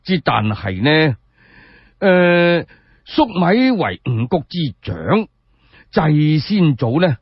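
A man's voice: a little high, sing-song, slow pace, husky, dry recording, lo-fi sound, heavily acted. A man with a Cantonese accent voicing a dignified, pedantic old male sage in a radio story, hesitant and self-justifying. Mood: earnest, pedantic.

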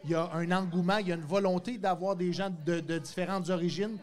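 A man's voice: sits high, steady tone, fast talker, a little mellow. A man, hosting a podcast interview and speaking into a handheld mic in a studio. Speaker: podcast host. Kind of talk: casual interview. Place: dark podcast studio with neon lights.

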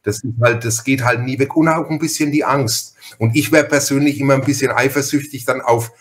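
A man's voice: medium pitch, steady tone, a little fast. A man chatting as a podcast host in an effusive, long-winded, personal way. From Franconia, Germany. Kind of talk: conversational interview chat.

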